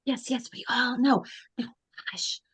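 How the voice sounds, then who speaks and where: A woman's voice: fairly high pitch, unhurried, a bit bright, breathy edge, no room sound. A woman in an online dramatic reading playing a determined teenage girl on a rescue quest, speaking earnestly and urgently. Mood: hushing. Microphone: video call.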